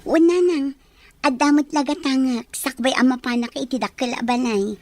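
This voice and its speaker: A woman's voice: high voice, steady tone, normal speed, a little mellow, dry recording, close to an everyday voice. A woman acting as an earnest, caring young working daughter in an Ilocano audio drama. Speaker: drama actress. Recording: clear background noise and ambience.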